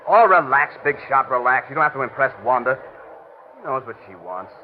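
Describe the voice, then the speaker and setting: A jealous, sneering tough guy from a vintage radio play, played by a male actor. He talks fast with big swings in pitch, on a muffled old recording. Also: lively intonation, a little hiss or hum, lightly acted. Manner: sneering, slangy wise guy. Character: jealous hot-headed tough-talking man in love. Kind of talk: 1940s radio drama acting.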